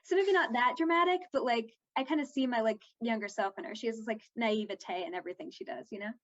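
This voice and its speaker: A woman's voice: fairly high pitch, fast talker, lo-fi sound. A fourteen-year-old girl chatting casually as an interviewed young actress, her talk peppered with "like".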